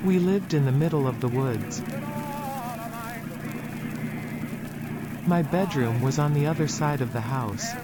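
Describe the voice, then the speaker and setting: Man playing a troubled, solemn test subject, slightly high voice. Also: animated, normal speed, heavy background noise, lightly acted. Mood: haunted. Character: troubled research subject recounting painful childhood memories. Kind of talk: analog horror found-footage acting, narrative monologue.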